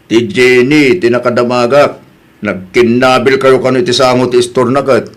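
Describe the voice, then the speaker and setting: A man's voice: medium pitch, steady tone, moderate pace, lightly acted. A man playing a preachy, peacemaking husband and son in an Ilocano family comedy drama. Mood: exasperated, admonishing.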